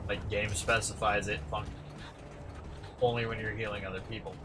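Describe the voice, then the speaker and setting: A man casually commenting while playing a game with a friend, with a calm, lecture-like voice and offhand swearing. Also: lowish voice, moderate pace, background noise. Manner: laid-back, musing, swears casually. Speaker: gaming channel host.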